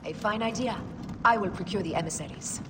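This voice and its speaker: An actress playing a cool, determined assassin of ancient Egypt in a game cutscene, confident and purposeful. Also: female voice, medium pitch, brisk, lightly acted. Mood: cool, resolute. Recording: reverb with clear background noise.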